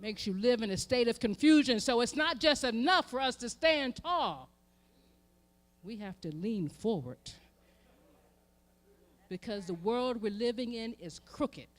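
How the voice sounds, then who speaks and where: A woman's voice: a little high, lively intonation, unhurried, pauses often. American woman preaching with emphatic repetition. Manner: emphatic rhetorical repetition, swelling from hushed to forceful. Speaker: reverend speaking at a memorial event. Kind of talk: impassioned sermon. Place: live event before an audience.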